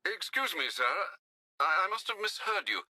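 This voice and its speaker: Sharp, bright-voiced elderly British butler. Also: male voice, sits high, big pitch swings, normal speed, heavily acted, rough recording. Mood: shocked concern. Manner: polite, formal, concerned. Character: elderly, proper, devoted British male butler. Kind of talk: dramatic video game acting.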